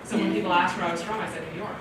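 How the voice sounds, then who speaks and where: A chatty woman from Canada answers audience questions at a library talk, laughing often and acting out her own reactions. She is heard from across a very echoey room. Loud steady noise sits under her voice. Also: fairly low pitch, racing, distant mic.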